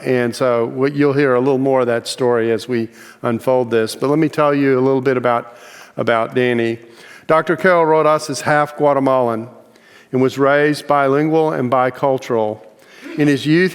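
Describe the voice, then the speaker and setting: An older man hosts an interview with a smooth, resonant voice. He talks in a friendly, rambling way, full of fillers and restarts. A long reverb trails after his words. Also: mid-range voice. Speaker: interview host. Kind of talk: hosting a video interview.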